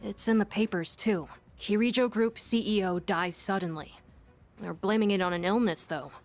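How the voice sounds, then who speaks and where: Woman as observant young student, sober delivery, middle pitch, anime game dub. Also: moderate pace, faint music behind, close to an everyday voice. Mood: somber, puzzled. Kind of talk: English anime-style game dub acting.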